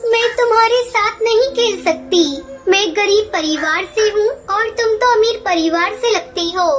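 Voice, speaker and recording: Woman as a very high-pitched little girl bird. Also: measured pace. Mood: sad, hesitant. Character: poor young girl bird, earnest and stubborn. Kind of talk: children's cartoon dialogue. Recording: music under the voice.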